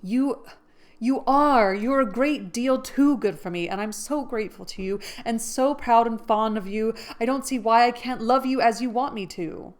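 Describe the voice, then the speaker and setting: A woman reads a spirited young heroine in a storybook, her voice raised, higher and emphatic. She speaks with frank, earnest conviction. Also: normal speed, close to an everyday voice.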